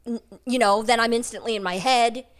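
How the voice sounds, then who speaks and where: A woman's voice: on the high side, steady tone, a little fast. A woman speaking as a voice actress guest in a casual panel chat, earnest and reflective, sometimes acting out her own thoughts.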